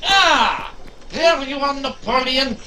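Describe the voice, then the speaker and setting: High, taunting robot villain. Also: male voice, animated, slow, a little hiss or hum, exaggerated voice, low-quality recording. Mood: menacing. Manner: boastful, shouting taunts. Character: bullying villain steam-powered robot Hitler, brutish and taunting. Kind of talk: audio drama villain acting.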